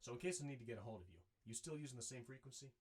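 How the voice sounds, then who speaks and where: An actor playing a wry, wary adventurer for hire, casual and skeptical, in naturalistic film dialogue. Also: male voice, mid-range voice, racing, natural voice.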